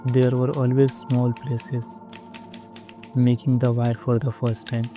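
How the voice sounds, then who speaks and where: A man acts out an uneasy night manager in an audio drama, narrating in a dark, level voice. His delivery is measured and brooding, with music underneath. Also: medium pitch, flat delivery, close to an everyday voice. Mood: reflective. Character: uneasy, watchful night manager of a newswire office.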